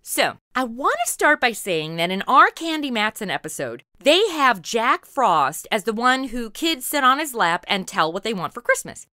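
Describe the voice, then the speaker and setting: Perky american woman podcast host, high bright voice, chatty and playful. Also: very animated, moderate pace, few pauses, no room sound, studio quality. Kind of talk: scripted, playful podcast interview.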